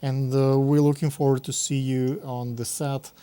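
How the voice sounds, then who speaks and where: A man hosting a public access TV interview, talking haltingly with many fillers and giving set directions. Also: medium pitch, level tone, warm tone, clean tone.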